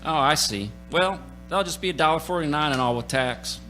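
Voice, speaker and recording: Folksy chatty country gas station man, radio play, highish sharp voice. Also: moderate pace. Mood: easygoing, folksy. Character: folksy, talkative rural turnpike gas station attendant. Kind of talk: radio play character acting. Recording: stage radio play with music.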